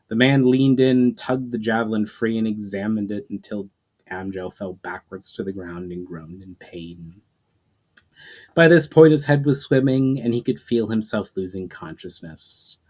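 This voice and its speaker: Male storyteller, fairly low pitch, dramatic adventure narration, emphatic openings, fading phrase ends. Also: measured pace, darkish tone. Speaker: author reading own story aloud. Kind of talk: dramatic audiobook-style narration of adventure fiction.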